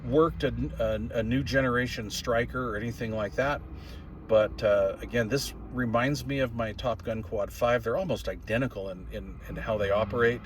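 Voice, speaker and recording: A man's voice: medium pitch, steady tone, normal speed, slight background noise. An older man with a folksy, plain-spoken, rambling way of talking, giving a casual product review as a hobbyist. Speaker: radio hobbyist reviewer and video host.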